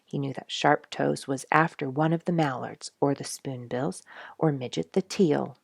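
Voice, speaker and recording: A woman with a low voice and a dry, close sound reads a children's book aloud. She tells it expressively, leaning on key words with dramatic emphasis. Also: fairly even intonation, moderate pace, gravelly, dry recording. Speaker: storyteller reading a children's book.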